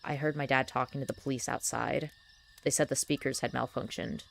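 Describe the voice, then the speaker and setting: A young woman with a scratchy voice reading a horror story aloud, slow and hushed. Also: rumbling low, fairly even intonation, vocal fry. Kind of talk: slow scripted horror narration.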